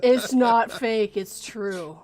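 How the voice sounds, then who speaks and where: Woman hosting a chill stream, kinda high voice, jokey and teasing. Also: slow, darkish tone. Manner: playful and teasing. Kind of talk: casual chat.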